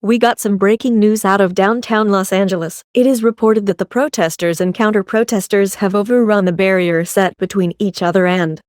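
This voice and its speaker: A woman's voice: mid-range voice, fairly even intonation, moderate pace, hardly any pauses, darkish tone, dry recording, studio quality, close to an everyday voice. A woman playing a TV news anchor, reading scripted reports on urgent breaking news. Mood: formal, urgent.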